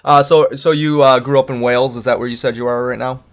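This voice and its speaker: A man's voice: mid-range voice, fairly even intonation, fast. A man hosting a casual interview, asking short questions and murmuring agreement while listening. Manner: brief questions and quiet murmured backchannels. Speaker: host.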